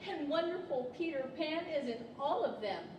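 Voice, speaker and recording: A woman plays an earnest, motherly little girl in a live radio play. Her voice is high and swings widely as she explains things like a storyteller. It is picked up at a distance in a reverberant hall. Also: lively intonation, moderate pace. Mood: earnest, wistful. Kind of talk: 1940s radio-theater acting. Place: large library hall, live stage performance. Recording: echoey hall, distant mic, background noise.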